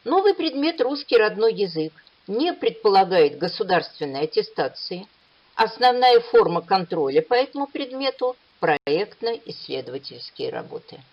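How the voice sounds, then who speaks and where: A woman delivers an academic conference lecture in a measured, explanatory way. Her intonation moves up and down a lot as she stresses key points. The recording sounds dull and lo-fi. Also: mid-range voice, very animated.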